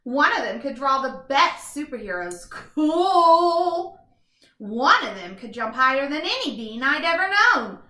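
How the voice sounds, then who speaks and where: A woman voicing a self-deprecating, wistful young bean who narrates a children's storybook with expressive exclamations. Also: high-pitched, unhurried, reverberant, full character voice. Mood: admiring, wistful.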